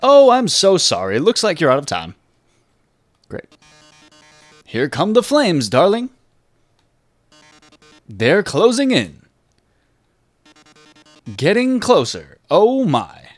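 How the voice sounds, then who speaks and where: A man's voice: sits high, huge pitch swings, takes it slowly, pauses often, no room sound, faint music behind, heavily acted. A man voicing a hammy robot TV host, speaking in a melodramatic, theatrical way with mock grief. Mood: gloating.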